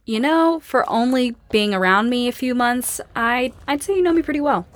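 An actress playing a witty, sarcastic young schoolteacher in a post-apocalyptic audio drama, with a wry, bantering manner. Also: female voice, fairly high pitch, animated, normal speed, natural voice. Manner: wry, dry-humored, bantering. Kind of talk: naturalistic audio drama acting.

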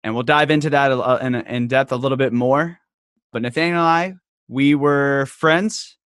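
A man's voice: medium pitch, fairly even intonation, normal speed, fairly bright tone, clean tone, dry recording, studio quality. Chatty joking male podcast host. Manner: chatty, jokey storytelling with lots of "like". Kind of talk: casual podcast chat.

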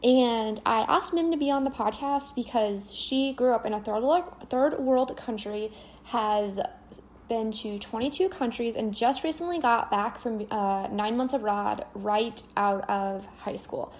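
A woman's voice: fairly high pitch, measured pace. A young woman hosting a podcast, chatting in an upbeat, casual way with fillers. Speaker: podcast host. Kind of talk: casual chat.

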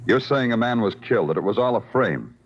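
An American man playing a terse, deadpan, world-weary police detective in a vintage radio crime drama. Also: on the low side, fairly quick, noisy background. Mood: cool, pressing. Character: hard-boiled male night-shift Broadway police detective, world-weary. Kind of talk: 1950s radio crime drama acting and narration. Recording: dull vintage radio recording with music.

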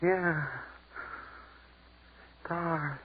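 An actor playing a hushed, awestruck young son in a 1940s radio drama, speaking haltingly. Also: male voice, on the high side, steady tone. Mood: hushed awe. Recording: lo-fi 1940s radio broadcast with hiss.

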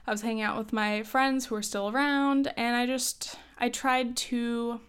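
A young woman in her twenties chats through advice in a relaxed, rambling way, with frequent fillers and light laughter. Her voice sits fairly high and sounds smooth and clear, though a touch hoarse from coughing. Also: measured pace. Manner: chatty, lots of "like" and "um", laughs often. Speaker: advice host. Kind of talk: casual advice chat.

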